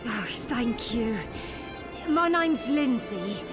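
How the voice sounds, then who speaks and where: An actress playing a grief-stricken villager widow in a video game, emotive and pleading, set on vengeance. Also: female voice, on the high side, animated, extremely slow, over music. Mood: pleading, bitter.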